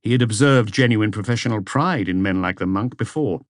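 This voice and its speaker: Low-voiced man narrating in a dry recording. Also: brisk, dry recording. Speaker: audiobook narrator. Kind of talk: scripted detective novel narration.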